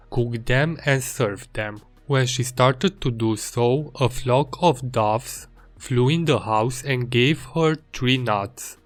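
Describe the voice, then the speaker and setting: A man, an illustrator reading scripted voice-over narration in a plain, measured way. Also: medium pitch, no echo. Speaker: illustrator and video creator.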